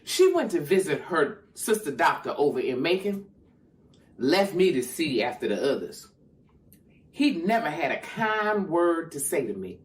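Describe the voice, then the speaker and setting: A woman playing a frightened, wounded fourteen-year-old girl in a stage monologue, halting and plain-spoken. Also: low voice, sing-song. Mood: pained. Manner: halting, plain-spoken, Southern rural phrasing. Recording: clear background noise.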